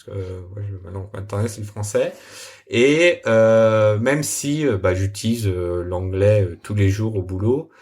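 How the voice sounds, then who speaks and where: A man from France, a software developer, answering interview questions casually with a halting, relaxed manner and many fillers. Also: lowish voice.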